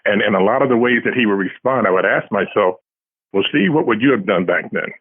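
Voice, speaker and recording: A man's voice: fairly low pitch, brisk, breathy edge. A man answering as an interviewed author, telling casual anecdotes with dry, self-deprecating humour. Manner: self-deprecating, dryly humorous. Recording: tinny phone-like line.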